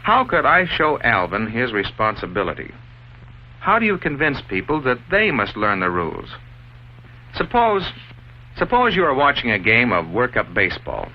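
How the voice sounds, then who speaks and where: A man playing an earnest school authority who explains rules in a deliberate, didactic way, like a 1950s instructional film. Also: mid-range voice, huge pitch swings. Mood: patient instructing. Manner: didactic, deliberate, asks leading rhetorical questions. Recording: dull, noisy old 1950s film soundtrack.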